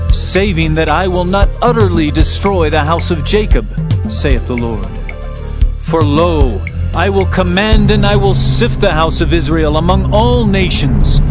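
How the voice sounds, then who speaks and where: A man narrating dramatized scripture in a solemn, declamatory manner. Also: fairly high pitch. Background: background music.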